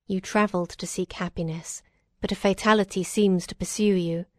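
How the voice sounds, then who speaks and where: A woman's voice: medium pitch, fairly even intonation, unhurried, dry recording, studio quality, close to an everyday voice. A woman voicing a mournful, tender older father in a storybook, subdued and grave.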